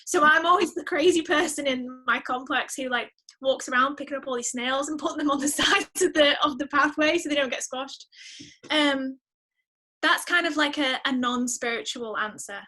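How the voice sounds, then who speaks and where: A woman speaking as a podcast guest in a casual interview, chatty and expressive, often exclaiming. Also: high voice, moderate pace.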